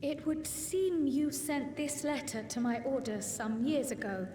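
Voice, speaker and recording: A woman's voice: high-pitched, a little slow, mellow, a little hiss or hum. A woman voicing an earnest, determined young scholar-envoy in a video game cutscene, formal, articulate and politely resolute. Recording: long hall reverb with music.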